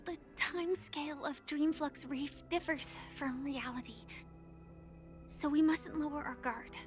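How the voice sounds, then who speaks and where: Gentle, high-voiced young woman, over music. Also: steady tone, unhurried. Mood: calm, cautioning. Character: soft-spoken earnest young woman, secretive mercenary hunter. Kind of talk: scripted video game voice acting. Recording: game music underneath.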